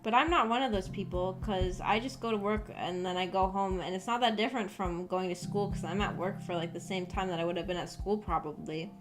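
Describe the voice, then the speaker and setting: A woman's voice: mid-range voice, fairly quick, hardly any pauses. Rambling young woman streamer, casual chat. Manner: rambling, hesitant, informal. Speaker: VTuber streamer. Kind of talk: casual livestream chat with viewers. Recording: background music under the voice. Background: background music.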